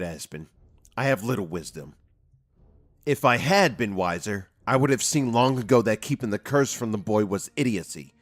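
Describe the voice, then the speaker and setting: A man playing a hot-headed, impulsive fairy guardian in a fan audio drama, arguing forcefully and emphatically. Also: mid-range voice. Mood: bitter, subdued.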